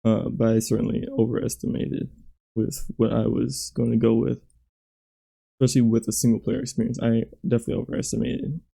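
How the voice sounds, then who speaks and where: A man, hoarse from losing his voice, giving halting, self-critical commentary while playing through his own game level. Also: mid-range voice, flat delivery, mellow. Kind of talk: gameplay commentary.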